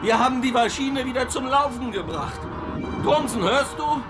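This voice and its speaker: An urgent seaman calling over ship's radio, his voice raised high. He sounds loyal and insistent, in radio horror play style, with music behind. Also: animated, moderate pace. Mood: relieved, excited. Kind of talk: German radio horror drama acting.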